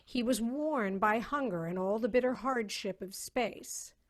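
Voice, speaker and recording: A woman narrator giving a measured, literary dramatic reading of a story over a video call. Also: fairly high pitch.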